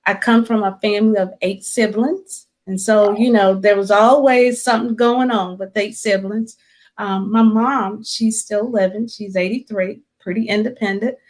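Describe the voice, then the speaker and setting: An older woman from South Carolina, a guest speaking in a friendly, relaxed interview manner with frequent ums. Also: medium pitch, moderate pace, poor audio. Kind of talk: casual interview talk.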